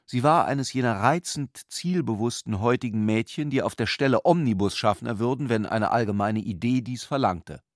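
A man's voice: mid-range voice, moderate pace, hardly any pauses, dry recording, clean studio sound. A man narrating a literary radio drama as a scripted storyteller.

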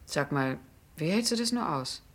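A woman's voice: deep voice, animated, normal speed. An actress in a German radio drama playing a frank, wistful young woman, direct and teasing in her manner. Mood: curious, concerned.

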